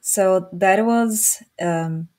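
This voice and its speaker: A woman with a Czech accent hosting an interview over a video call, chatting in a relaxed, joking way. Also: medium pitch. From Czech Republic. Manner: relaxed, joking, with quick restarts. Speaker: podcast host. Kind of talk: casual interview chat.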